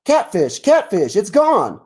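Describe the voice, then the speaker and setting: A man's voice: high-pitched, wildly swooping pitch, takes it slowly, husky, pitched above the performer's own voice, full character voice. A man voicing a frantic, alarmed deer who exclaims his way through a children's picture book. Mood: frantic, urgent. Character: frantic male deer, victim of theft.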